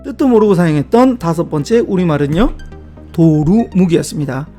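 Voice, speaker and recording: A man's voice: a little high, animated, brisk, mellow, soft background music. A man hosting a casual video lesson on word origins, chatty and given to light self-deprecating asides. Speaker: book-review video host. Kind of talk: casual explanatory talk about word origins.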